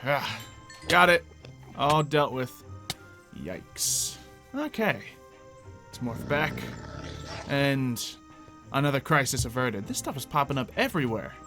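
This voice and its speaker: A man's voice: medium pitch, very animated, slow, over music. A man doing casual gameplay commentary with some light roleplay, rambling and thinking aloud as he goes. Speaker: gaming video host.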